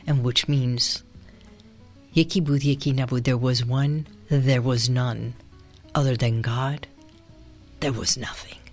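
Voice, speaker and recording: Slow, soft-spoken woman radio host from Iran. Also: a bass voice, fairly even intonation, faint music behind. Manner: soft, slow, meditative, with sighs and breathy pauses. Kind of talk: reflective solo radio talk.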